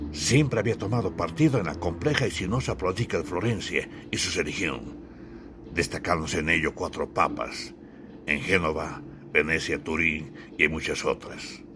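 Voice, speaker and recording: A man's voice: low voice, noisy background. A man from Bolivia narrating a literary audiobook in a solemn, theatrical storytelling manner. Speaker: author and narrator.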